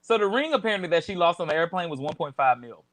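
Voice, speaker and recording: A man's voice: on the high side, animated, fast, low-quality recording. A man with a Southern US accent co-hosting a casual chat show, talking through celebrity news with lots of fillers and restarts. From American South. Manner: chatty, many fillers and restarts. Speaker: podcast co-host.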